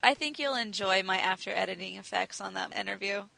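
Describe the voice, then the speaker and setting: A woman's voice: medium pitch, a little fast, vocal fry, pushed and bright, no echo, low-quality recording. A woman co-hosting and editing a casual podcast, giggling and teasing as she talks. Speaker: podcast co-host and editor.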